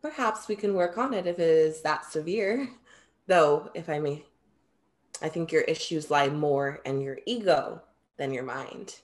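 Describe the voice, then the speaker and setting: A young woman playing a composed, perceptive muse in a staged play reading, with measured, formal diction. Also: low voice. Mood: gentle, frank. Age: young adult. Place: small room at home, video call. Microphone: video call.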